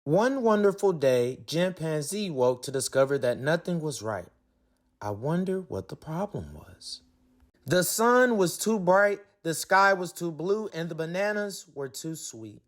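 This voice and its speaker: A man's voice: a little high, very animated, measured pace. A man hosting a children's read-aloud, reading a picture book playfully and expressively with colloquial asides. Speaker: read-aloud host, coach.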